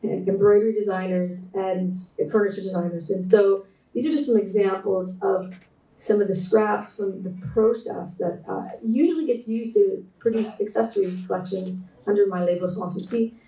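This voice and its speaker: A middle-aged woman with a Canadian accent, giving a conference keynote in a reflective, measured way with frequent restarts. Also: mid-range voice, fairly even intonation, warm tone. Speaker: keynote speaker, textile designer. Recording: roomy echo, voice distant from mic.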